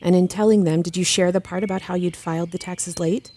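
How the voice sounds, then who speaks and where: An actress playing a calm, supportive counselor friend in an audio drama, coaxing in a measured, soothing manner. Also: female voice, lowish voice, lightly acted. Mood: probing. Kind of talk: fiction podcast audio drama acting.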